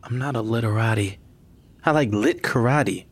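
A man playing an easygoing, joking partner in an audio drama, with a husky voice and a bantering manner. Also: on the low side, moderate pace, natural voice. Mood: playful.

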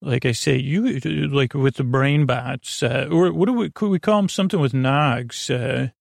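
A man with a creaky voice hosting a sleep podcast, speaking in a meandering, halting, stammering bedtime monologue that drifts into tangents. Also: mid-range voice, dry recording, studio quality. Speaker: podcast host. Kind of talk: rambling bedtime monologue to help listeners sleep.

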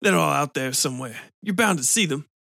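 A man voicing a bitter, weepy man with a smoker's rasp who pleads and breaks into tears. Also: fairly high pitch, lively intonation, fairly quick, sharp tone, no echo, clean studio sound, full character voice. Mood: pleading.

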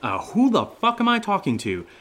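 A man's voice: high voice, wildly swooping pitch, normal speed, fairly bright tone, exaggerated voice. A man playing a jittery fellow in a horror audio drama, stammering, swearing and rambling to himself. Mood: nervous rambling. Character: jittery man nervously talking to himself in an attic. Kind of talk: naturalistic solo horror audio drama acting.

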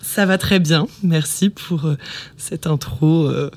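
A woman's voice: low-pitched, slow, a little mellow. A woman around thirty talking casually as a guest in a podcast interview.

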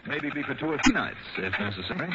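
An actor playing a suave insurance investigator in a 1960s radio detective drama, measured, wry and probing. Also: male voice, medium pitch, very animated, close to an everyday voice. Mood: insistent. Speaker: lead investigator and narrator. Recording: muffled, noisy old radio broadcast.

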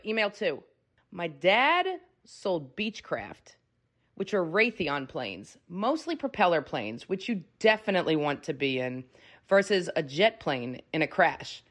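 A woman giving an expressive, performed reading of a casual listener email as a guest. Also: medium pitch.

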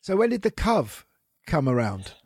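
A man's voice: on the high side, big pitch swings, moderate pace, slightly rough, dry recording, clean studio sound. A man co-hosting a podcast, asking curious follow-up questions in casual chat. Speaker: podcast co-host.

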